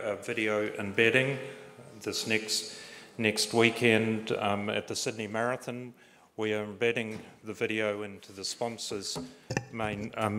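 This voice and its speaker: A man giving a prepared conference presentation in a steady, organized way with occasional fillers. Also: lowish voice.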